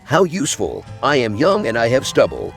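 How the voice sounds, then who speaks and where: A man's voice: medium pitch, wildly swooping pitch, normal speed. Man acting a haughty, swooping-voiced young elf agent. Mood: imperious. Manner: imperious, sardonic. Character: haughty young male elven agent, disdainful of commoners. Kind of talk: video game roleplay dialogue. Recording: music behind the voice.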